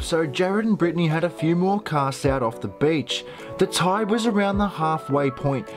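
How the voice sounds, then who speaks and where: Young Aussie male vlogger, chatty and upbeat, outdoor beach, music bed. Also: on the high side. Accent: Australian. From Australia. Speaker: fishing vlogger host. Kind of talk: casual outdoor vlog talk and narration.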